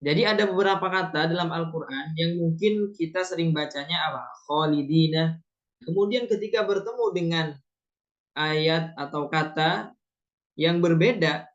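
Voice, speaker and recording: A man from Indonesia giving a training lecture on Quran reading, his voice sitting a little higher than most men's. He explains things step by step and keeps dropping short affirming fillers between points. The recording sounds dull and muffled, with the highs rolled off. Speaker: Quran recitation teacher. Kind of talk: lecture at a teacher training course.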